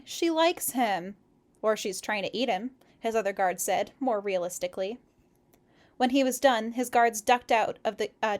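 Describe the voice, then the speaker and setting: A woman reading a story aloud expressively, in the relaxed, chatty way of a casual co-host. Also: a little high. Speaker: co-host of a fan fiction read-aloud stream.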